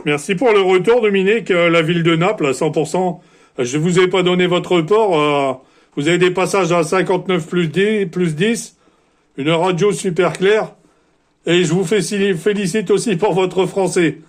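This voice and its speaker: A man's voice: high voice, normal speed. A man speaks as a CB radio operator, courteous and orderly, in the set phrases of a radio contact. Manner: polite, orderly, formulaic sign-offs.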